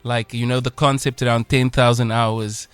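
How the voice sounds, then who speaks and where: A man's voice: mid-range voice, flat delivery, moderate pace, clear, resonant tone, dry recording, faint music behind. A man with a South African accent hosting a radio interview, chatty and enthusiastic, with rambling questions. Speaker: radio host. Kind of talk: casual interview chat. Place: radio studio.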